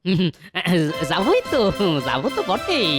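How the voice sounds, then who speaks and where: A woman's voice: medium pitch, wildly swooping pitch, normal speed, music in the background. A woman voicing a poor, crafty male village blacksmith who talks in a folksy, exclamatory way in a cartoon dub. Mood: meek, crafty. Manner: rustic, exclamatory, self-muttering.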